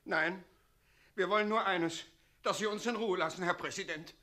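A man's voice: sits high, moderate pace, sharp tone. An actor playing a polite, despairing old father in a German radio play, courteous but firm in his pleading. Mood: politely firm. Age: older.

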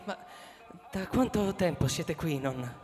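An actor playing an eager, soft-hearted young crewman in a radio drama, hesitant and deferential. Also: a little high, expressive, measured pace, soft background music. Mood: flustered joy. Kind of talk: live radio drama acting. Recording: echoing hall reverb.

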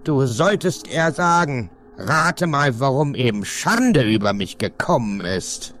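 A man's voice: mid-range voice, sing-song, measured pace, a bit bright, slight background noise. An actor playing a stern old Martian father in a radio play, disdainful and declamatory. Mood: scornful. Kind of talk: German satirical radio play acting.

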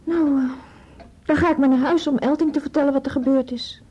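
Actress as a high, mellow, cautious woman. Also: fairly even intonation, fairly quick, lightly acted. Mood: thoughtful, hesitant. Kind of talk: Dutch radio play dialogue. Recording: dull muffled radio play recording.

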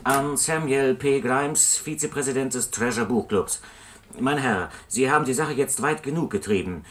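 An actor as a fed-up male customer in a radio play, firm and formal in a mid-pitched voice. He dictates a stern letter with background noise and clicking. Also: normal speed, lightly acted. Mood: stern, indignant. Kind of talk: radio drama letter reading. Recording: slightly noisy radio play, typewriter clicks.

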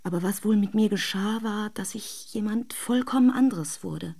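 An actress playing a wistful, lovelorn wife in a radio drama, confiding intimately in a breathy voice that often nears a whisper. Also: female voice, mid-range voice, normal speed, mellow. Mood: tender, longing. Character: wistful, devoted wife secretly longing for absent lover. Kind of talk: literary radio drama monologue.

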